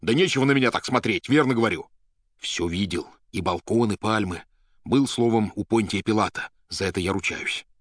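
A man's voice: lowish voice, brisk, dry recording, pitched above the performer's own voice, close to an everyday voice. A man voicing an agitated, half-crazed young poet in an audiobook, indignant and vehement, with colloquial speech. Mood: insistent.

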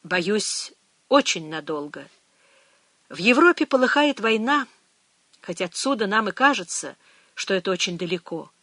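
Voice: mid-range voice, expressive, measured pace, pauses often, bright, forceful tone, no echo. A reader voicing a weary, guarded middle-aged priest in an audiobook, speaking in a restrained, reflective way. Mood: earnest.

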